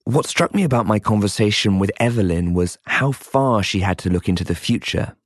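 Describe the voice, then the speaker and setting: Male documentary podcast host, lowish voice, scripted narration, very dry recording. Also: expressive, brisk, no room sound.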